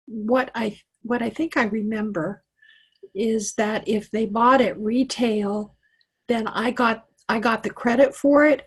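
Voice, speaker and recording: Chatty woman with a very husky voice. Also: fairly high pitch, rough recording. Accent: Western American with a slight Southern tinge. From Wyoming, USA. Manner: chatty and reassuring, many fillers and restarts. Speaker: computer coach. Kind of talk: live online tech class. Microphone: computer mic on a video call.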